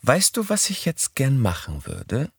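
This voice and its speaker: A man voicing a bold, whimsical young woman in an audiobook, with a raised, lively, teasing lilt. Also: mid-range voice, unhurried, husky, no echo, studio quality, voice pushed up, heavily acted. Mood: dreamy. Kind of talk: audiobook character voice.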